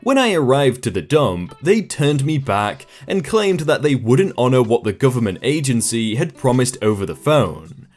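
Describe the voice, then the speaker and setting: A man narrates online stories with a lively, swinging delivery, reading each post like a told tale. His pitch sits in a middle range but moves around a lot. Soft music plays faintly underneath. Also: moderate pace. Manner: lively storytelling reading with short comments between stories. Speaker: narrator reading reddit posts. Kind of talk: scripted story narration.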